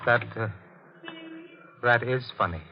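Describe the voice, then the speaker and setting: An American actor playing a secretive, brooding middle-aged married man in a 1940s radio mystery, speaking in a measured, halting, guarded way. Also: mid-range voice, close to an everyday voice. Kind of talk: 1940s radio acting, first-person mystery narration. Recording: muffled 1940s radio recording with music.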